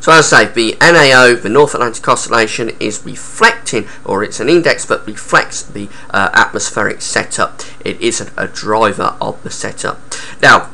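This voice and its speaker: A young man with a croaky voice and a sore throat, explaining weather charts with many halting "uh" fillers into a webcam in a small room at home. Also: lowish voice, pushed and bright. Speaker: weather forecaster.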